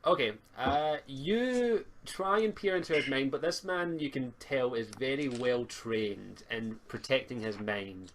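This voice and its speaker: Guy game mastering, halting casual narration, middling pitch, bit of background noise. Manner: halting, improvising.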